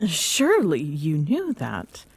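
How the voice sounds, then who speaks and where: A woman acting as a towering Amazon queen in an ASMR romance roleplay. Her voice is soft-edged and breathy, slightly low, and she takes her time. She teases and commands with open affection, swinging expressively and often slipping toward a whisper. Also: huge pitch swings, full character voice. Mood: teasing, coaxing. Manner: teasing, halting, affectionate, often dropping to whispers. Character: tall, smitten Amazon queen, teasing and commanding.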